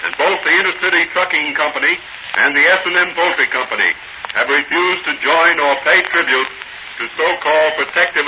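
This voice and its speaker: A man's voice: on the high side, background noise. A man narrating a radio serial as its announcer in a formal, dramatic 1940s style. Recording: muffled vintage 1940 radio recording. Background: music.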